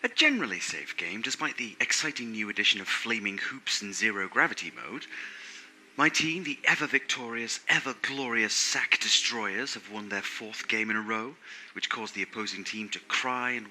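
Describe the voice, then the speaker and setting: A man's voice: lowish voice, animated, normal speed, sharp tone, a little hiss or hum, poor audio. A man playing a vain, sarcastic, rambling robot crewmate in an audio drama, insecure under the swagger. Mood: smug, gloating. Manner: theatrical, sarcastic, rambling monologue. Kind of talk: scripted audio drama monologue.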